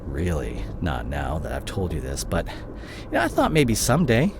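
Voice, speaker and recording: Man acting as anguished low-voiced cheating boyfriend, car noise. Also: huge pitch swings, exaggerated voice. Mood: guilty, pleading. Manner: halting, emotional, repeats and breaks off words. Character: disgraced CEO boyfriend, anguished, guilt-ridden, confessing his cheating. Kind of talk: dramatic audio roleplay monologue addressed to the listener. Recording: clear vehicle noise behind the voice. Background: car ambience.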